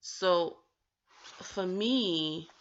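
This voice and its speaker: A woman's voice: on the low side, drawn-out words, lo-fi sound. A woman vlogger talking earnestly about her own stutter, with occasional stammered restarts as she encourages others. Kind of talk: personal talk to camera.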